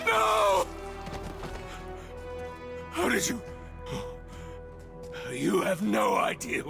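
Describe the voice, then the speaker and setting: A bellowing male villain in a game cutscene, brutish and wrathful. The voice is strained high and heavily gravelled, with loud music behind. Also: lively intonation, very slow, heavily acted. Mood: anguished rage. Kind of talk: cinematic video game acting.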